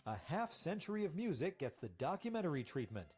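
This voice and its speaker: Lively-voiced male entertainment reporter. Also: on the high side, fast, over loud music. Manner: lively, expressive reporter delivery. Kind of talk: scripted news report.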